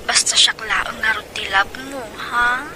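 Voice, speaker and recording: A woman's voice: a little high, unhurried, bright, forceful tone, low-quality recording. A woman with an Ilocano accent playing a seductive, possessive mistress in a radio drama, coaxing and cooing. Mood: coaxing, flirtatious.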